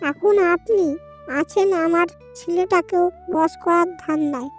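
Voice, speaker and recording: A woman's voice: extremely high pitch, unhurried. A woman voicing a cruel, domineering young daughter-in-law in a melodramatic cartoon, harsh and bossy. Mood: angry, scornful. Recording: music under the voice.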